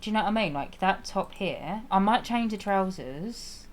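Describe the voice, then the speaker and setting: A woman streaming a game, chatting casually with viewers and laughing often, her voice slightly raspy. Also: mid-range voice, expressive, normal speed. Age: adult with a school-age child. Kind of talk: casual live-stream chat while playing.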